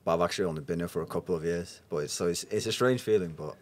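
Male, twenties, very low voice, relaxed podcast guest delivery. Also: rapid-fire. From Norway. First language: Norwegian. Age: 20s. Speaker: podcast guest, professional footballer. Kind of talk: casual podcast conversation. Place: indoor lounge booth. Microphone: podcast microphone on boom arm.

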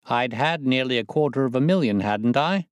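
A man's voice: mid-range voice, moderate pace, smooth, no room sound, pitched above the performer's own voice, natural voice. A man reading a story aloud, voicing a boastful, swaggering young male convict who leads a plot. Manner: boastful, coarse, excitable.

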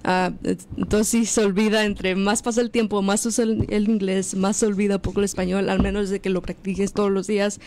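A man talking as a guest in a casual panel discussion, speaking hesitantly with many pauses. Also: high voice, little pitch movement, darkish tone, a little hiss or hum.

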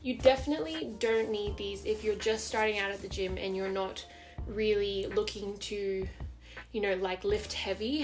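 A young woman in her twenties chatting casually in a show-and-tell fitness vlog at home, upbeat, with small laughs and loose asides. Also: mid-range voice. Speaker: fitness vlogger. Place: bedroom at home, indoors.

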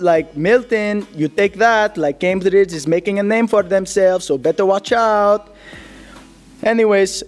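Guy doing a high voiced goofy puppet sports anchor, cocky n rambly slang, music under it. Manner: rambling, slangy, emphatic, filler-heavy. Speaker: sports segment host. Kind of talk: comic sports news commentary.

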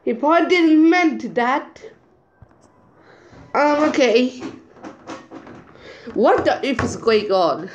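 A woman with a high voice reads chat messages aloud at an unhurried, halting pace. She mutters asides between the lines, recorded on a phone mic with a faint hiss behind her. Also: lively intonation, dull audio. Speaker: channel owner. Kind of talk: reading out group chat messages over a screen recording.

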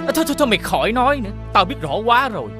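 A greedy rich elder brother in a fairy-tale cartoon. He is boastful, suspicious and condescending, with big swings in pitch and faint music underneath. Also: sing-song, rapid-fire. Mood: angry, suspicious. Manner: condescending, expansive. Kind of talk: animated fairy-tale voice acting.

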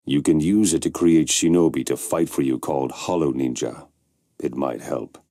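A man voicing a stern, commanding leader of rogue ninjas in an anime video game, gravelly, terse and ominous. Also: a bass voice, moderate pace, warm tone, no echo, clean studio sound. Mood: solemn, commanding. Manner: terse, authoritative.

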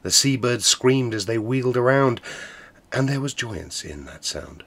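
A man storyteller reciting a narrative ballad in a theatrical manner, swelling from hushed to booming. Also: expressive, measured pace.

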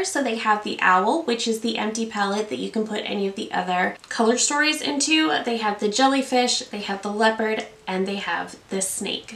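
Congested woman vlogger, slightly gravelly. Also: on the high side, fairly bright tone, reverberant. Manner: chatty, enthusiastic, talks while applying makeup. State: congested from a cold. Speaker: beauty vlogger. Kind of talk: product review and makeup tutorial. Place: indoor room, seated at a plain backdrop.

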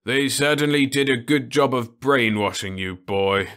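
A man's voice: mid-range voice, unhurried, pushed and bright, pitched above the performer's own voice. A man voicing a grumbling, rebellious old man in a storybook reading. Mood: mournful. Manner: scornful, mournful.

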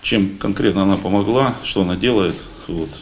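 Low-voiced man speaking haltingly. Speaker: paratrooper unit member addressing a meeting. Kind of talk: halting informal public speech. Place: meeting hall at a lectern. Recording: noisy room recording.

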